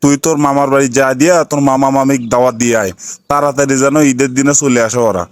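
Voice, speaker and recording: A man's voice: mid-range voice, clear, resonant tone, natural voice. A man playing a scolding rustic father. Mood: grumbling. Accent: North Bengal Bangla. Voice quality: fast, scolding, rustic dialect. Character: scolding middle-aged father, banana seller. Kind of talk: cartoon dialect comedy acting.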